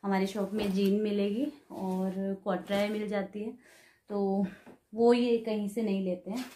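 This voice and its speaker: A woman in her thirties talks through a shopping haul in a casual, explanatory way, holding clothes up to the camera as she goes. Her voice sits at a middle pitch. The room around her is noticeably echoey. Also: level tone, measured pace, warm tone, slight background noise. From Himachal Pradesh, India. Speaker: vlogger. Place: bedroom at home, indoors. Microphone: camera in front, medium framing.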